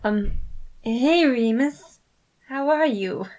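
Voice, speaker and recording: A woman's voice: fairly high pitch, lively intonation, drawn-out words, darkish tone, voice pushed up. A woman voicing a timid, cautious young man in a fan fiction reading, speaking hesitantly. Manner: hesitant, muttering.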